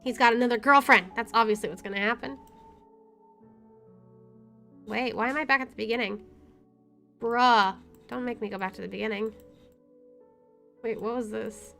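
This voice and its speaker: Higher-voiced woman playing a game. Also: steady tone, normal speed, vocal fry, long pauses, pushed and bright, faint music behind. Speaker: gameplay host. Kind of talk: reading game narration aloud with casual commentary.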